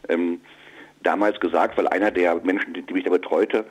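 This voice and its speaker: A comedian being interviewed, answering casually with many fillers and restarts. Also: male voice, low-pitched, fairly even intonation, moderate pace. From northern Germany. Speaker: comedian and musician. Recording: radio broadcast.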